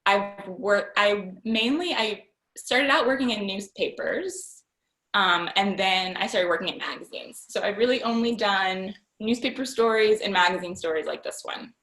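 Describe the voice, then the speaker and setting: A woman's voice: mid-range voice, moderate pace, fairly bright tone, roomy sound, low-quality recording. A young woman, a magazine writer, answering questions in a chatty, informal, self-deprecating way. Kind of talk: casual question and answer chat.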